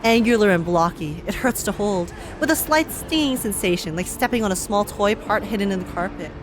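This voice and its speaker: A young woman streaming a game, reacting and reading aloud in a playful, expressive way. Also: mid-range voice, fairly quick. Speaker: gaming streamer. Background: game music.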